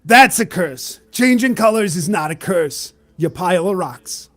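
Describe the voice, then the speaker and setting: Tabletop game registrar, played by a man: jaded, condescending drawl, higher-set male voice. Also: expressive, measured pace, fairly bright tone, exaggerated voice. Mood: morbid, exasperated. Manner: world-weary, drawling, condescending. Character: jaded, sarcastic male registrar at a curse-declaration desk. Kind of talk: improvised tabletop roleplay.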